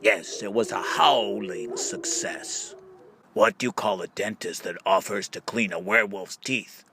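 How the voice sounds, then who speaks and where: A man's voice: lowish voice, music in the background. A man reading a scripted book of riddles, setting up each question and drawing out the punchline. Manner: question-and-answer delivery with drawn-out punchlines. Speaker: audiobook reader.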